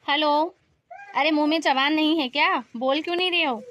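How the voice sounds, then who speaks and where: A woman voicing a resentful, outspoken elder daughter-in-law who complains confrontationally in an animated family story. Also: high-pitched, a little fast. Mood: angry, indignant.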